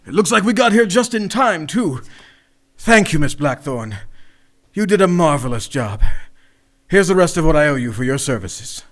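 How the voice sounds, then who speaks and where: High-voiced stern commanding father, played by a man. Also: very animated, moderate pace, a bit bright. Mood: gracious. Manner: authoritative. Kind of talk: noir radio play acting.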